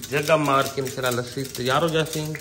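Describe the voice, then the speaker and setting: A man's voice: mid-range voice, fairly even intonation, fast. A man, chatting casually and playfully as he films a family vlog at home. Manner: playful, bantering with his wife. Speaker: family vlogger, host. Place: indoors at home, kitchen and rooms. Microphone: phone at arm's length. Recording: noisy handheld home recording.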